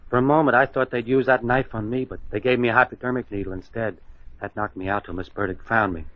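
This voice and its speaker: A man acting a dazed hospital orderly who gives his account haltingly in an old-style radio mystery. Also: medium pitch, fairly even intonation, lightly acted. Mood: anxious, explaining. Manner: halting, hesitant answers. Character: dazed male hospital orderly telling a false story. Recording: dull lo-fi old radio recording.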